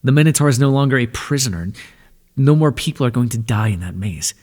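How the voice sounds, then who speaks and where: A man voicing an ancient god of the underworld in an ASMR roleplay, speaking in a soft, breathy, measured way and reassuring the listener tenderly. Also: medium pitch, animated, big-room sound, lightly acted. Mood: gentle, soothing.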